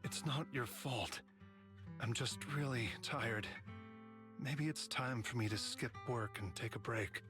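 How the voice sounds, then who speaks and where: An actor playing a calm, world-weary male mentor in a video game, speaking in a measured, solemn way. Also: mid-range voice, music in the background, close to an everyday voice. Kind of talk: scripted game cutscene acting.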